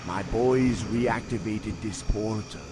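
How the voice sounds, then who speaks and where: A man's voice: fairly low pitch, slow pace, very noisy. A man voicing a fierce, loyal troll chieftain in a fantasy game, commanding, with folksy dialect grammar. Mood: grave resolve. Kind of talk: fantasy game cutscene acting.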